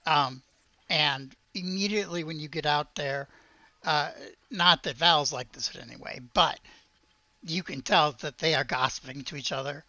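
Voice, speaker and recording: A woman's voice: low-pitched, moderate pace, bright, forceful tone, no room sound, muffled recording. A woman acting as game master, narrating a tabletop game casually and hesitantly, as if thinking aloud.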